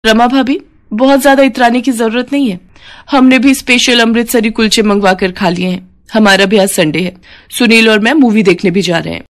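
Young daughter-in-law, proud, boastful, huffy, raised pitch, cartoon story, voiced by a woman. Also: normal speed, lightly acted. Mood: haughty.

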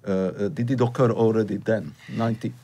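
A middle-aged interviewer from eastern Slovakia with a slightly husky, low voice. He builds his question with halting restarts and repeated words. He is recorded on a close desk mic in a small curtained studio. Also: steady tone, dark tone. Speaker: interviewer, associate professor. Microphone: desk mic on stand.

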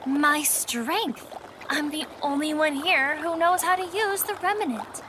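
Haughty young female mage woman actor, audio drama: defiant and petulant, very high and piercing, faint noise behind. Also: slow. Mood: resentful, defiant.

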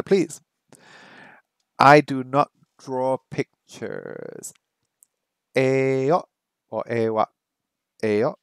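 A middle-aged man talks casually into a headset mic, close and dry. He chats to viewers, stops to sound out practice phrases slowly, and repeats words as he works through them. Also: medium pitch, no echo. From English first language, lives in Hong Kong. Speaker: streamer learning Japanese. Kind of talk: casual live-stream chat. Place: small home room by a window.

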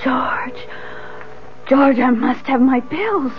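A frail young wife near death in an old radio drama, tender and imploring. Her voice is high and slow. The sound is muffled, with music behind her. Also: lively intonation. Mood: pleading. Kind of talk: 1970s radio drama acting.

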